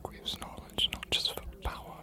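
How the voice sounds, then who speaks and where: A woman voicing a scholarly ancient vampire archivist in an ASMR fantasy roleplay, cryptic and inviting, hushed and deliberate with weighty pauses. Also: close to an everyday voice. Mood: hushed, earnest.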